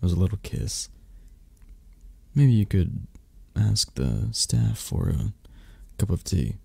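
A man playing a flirty, possessive but tender mafia boss in an intimate romantic ASMR roleplay, murmuring slowly and hesitantly in a tired, husky voice. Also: expressive, a little mellow, no room sound, heavily acted. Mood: playful, coaxing. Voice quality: low, husky, gravelly. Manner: slow, hesitant, murmured endearments with pauses. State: tired, drowsy. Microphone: close microphone.

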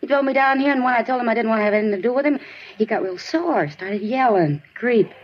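A woman's voice: on the high side, animated, quick pace, lightly acted. An actress playing a brash, slangy runaway teenage girl in a 1950s radio drama, flippant and dismissive. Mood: scornful. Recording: muffled, hissy 1950s radio recording.

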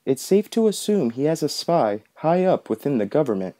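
A man's voice: fairly high pitch, very animated, normal speed, dark tone. A man presenting a podcast with scripted, explanatory voice-over narration. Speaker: podcast host.

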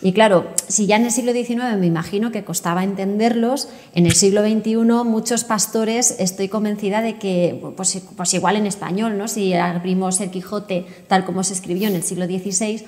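A woman's voice: medium pitch, fairly even intonation, normal speed, hardly any pauses, darkish tone. Woman interviewer in a reverberant room. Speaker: podcast host, interviewer. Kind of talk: conversational interview. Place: indoor book-lined room.

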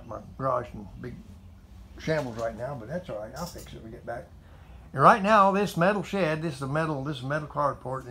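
A man's voice: medium pitch, a bit bright. Old guy in his 70s rambling about his ride, lots of uh and you know, faint hum behind, recorded in a tin carport. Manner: folksy, meandering, repeats himself with fillers. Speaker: motorcycle vlogger. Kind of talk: rambling casual monologue to camera. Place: metal carport garage, indoors.

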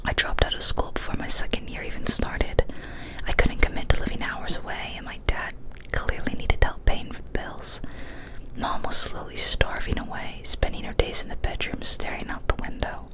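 A young woman narrates a scary story in a hushed ASMR whisper. Her delivery is breathy and unvoiced throughout, with slow, quiet phrasing. She speaks very close to a studio microphone in a small indoor room. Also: poor audio. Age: young adult. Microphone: large studio mic with foam cover, very close.

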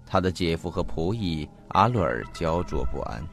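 Deep-voiced, earnest male investigator. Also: lively intonation, vocal fry. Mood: grave, explanatory. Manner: formal, deliberate, detailed. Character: earnest male investigator recounting a mysterious disappearance. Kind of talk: Chinese audio drama acting, measured storytelling delivery. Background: faint background music.

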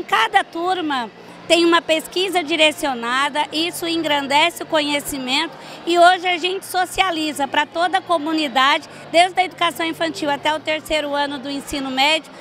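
A woman's voice: sits high, moderate pace, a bit bright, a little hiss or hum. An older woman interviewed at a school gym on a handheld mic, explaining earnestly with many fillers. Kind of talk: on-camera interview. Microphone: handheld reporter mic.

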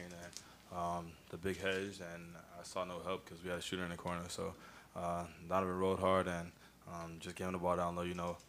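A young man, a college basketball player, answering press questions quickly and matter-of-factly into a table mic. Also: low voice, slight background noise. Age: young adult. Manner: quick, even, matter-of-fact. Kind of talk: postgame press conference answers. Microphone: table mic on a stand.

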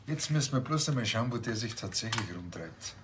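A man's voice: on the low side, expressive, fairly quick, noisy background, close to an everyday voice. An actor playing a suspicious, cheeky policeman with a Bavarian accent in a comedy crime series. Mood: dry, suspicious.

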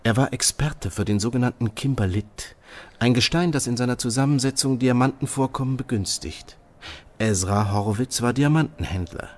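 An actor playing a brilliant, self-assured detective who lectures briskly about his deductions. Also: medium pitch, close to an everyday voice. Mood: lecturing. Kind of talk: audio drama acting.

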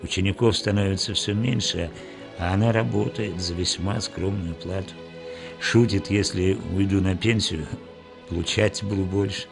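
A man reading scripted documentary narration in a measured, formal way. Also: deep voice, fairly even intonation, gravelly. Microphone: studio voice-over. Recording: voice-over with music underneath.

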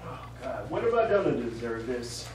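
A man's voice: mid-range voice, very animated, moderate pace, natural voice. A man on stage playing a sarcastic, grumbling husband with a dry, impatient manner. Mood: exasperated. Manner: dry, exasperated. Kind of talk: live stage play acting. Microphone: far camera. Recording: echoey stage, distant mic, noisy.

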